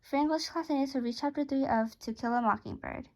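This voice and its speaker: A woman with a high-pitched voice reads a first-person story aloud. She narrates it as written, occasionally tripping over a phrase and going back over it. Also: fast. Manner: stumbles and rereads phrases. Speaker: author reading own story aloud.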